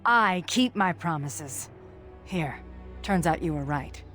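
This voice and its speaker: Casting: brusque female game informant, low-pitched, blunt, gruff, streetwise, with faint music underneath. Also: animated, takes it slowly, fairly bright tone, full character voice. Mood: irritated, brusque. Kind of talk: video game voice acting.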